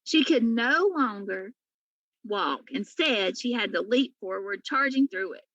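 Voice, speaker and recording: A woman's voice: mid-range voice, animated, a little slow, a bit bright, dry recording, dull audio. A woman reading a children's story aloud as a teacher would for pupils. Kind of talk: storybook read-aloud narration.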